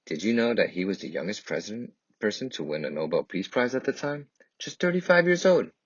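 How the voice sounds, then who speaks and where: A man reads a children's picture book aloud in a husky voice, with expressive, emphatic and dramatic phrasing. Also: on the low side, a little fast. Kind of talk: expressive read-aloud storytelling. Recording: dull, lo-fi, echoey room.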